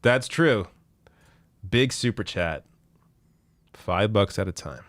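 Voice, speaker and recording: A man in his thirties, hoarse from losing his voice, hosting a casual live political stream with blunt, profane commentary and frequent restarts. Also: lowish voice, expressive, takes it slowly, fairly bright tone, no echo. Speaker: political show host reading viewer chat.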